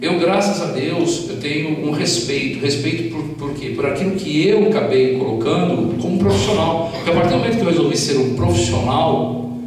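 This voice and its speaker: A man's voice: a little high, lively intonation, a little fast, a little mellow. Echoey, distant middle-aged man speaking. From São Paulo state, Brazil. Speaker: private detective, guest speaker. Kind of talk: informal public speech on professional ethics. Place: small council chamber, indoors at a lectern. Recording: echoey hall, distant mic, humming noise.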